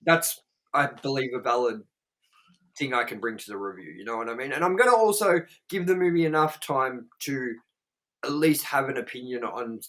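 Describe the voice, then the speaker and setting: A man co-hosting a podcast in loose, rambling casual chat. He swears freely and keeps circling back with fillers while he works out his point. His voice sits at an ordinary middle pitch. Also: expressive, normal speed, a bit bright, poor audio. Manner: rambling, profane, with lots of fillers. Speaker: podcast co-host.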